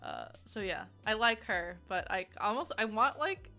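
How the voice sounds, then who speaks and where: Bright-voiced woman, casual game reviewer over music. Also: medium pitch, big pitch swings, normal speed, gravelly. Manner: giggly, often laughing as she talks.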